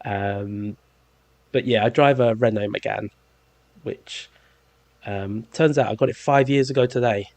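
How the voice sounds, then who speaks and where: A man's voice: lowish voice, measured pace, pauses often, a little mellow, no room sound. A man with a London accent in his thirties, hosting a podcast in a rambling, jokey way with lots of ums. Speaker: podcast host. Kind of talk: casual chat.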